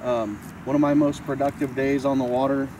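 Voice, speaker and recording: A man's voice: mid-range voice, little pitch movement, fairly quick. A man in his thirties walks through a fishing technique in a plain, practical way, handling gear as he talks. He is recorded outdoors by a camera mic a few feet away, so his voice sits a little distant, with faint open-air noise behind it. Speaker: fishing instructor. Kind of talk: casual outdoor instructional demo. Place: outdoors on a boat and a snowy riverbank.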